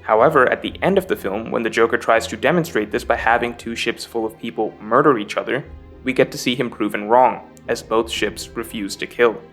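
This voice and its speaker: A man's voice: medium pitch, brisk, fairly bright tone, soft background music. A man delivering scripted narration for a film analysis video essay as its host, explaining in an articulate way.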